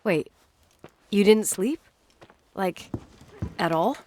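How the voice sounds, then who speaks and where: A woman's voice: mid-range voice, expressive, very slow, mellow, slight background noise. An actress playing a guarded, dry-witted young woman in a naturalistic video game performance. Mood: uneasy, questioning.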